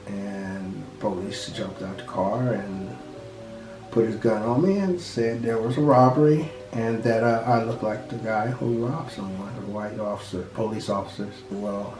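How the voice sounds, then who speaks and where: A man's voice: lowish voice, unhurried. A man in his sixties telling a personal story in a plain-spoken way. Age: 60s. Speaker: interviewee. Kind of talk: personal testimony. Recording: roomy echo with guitar music.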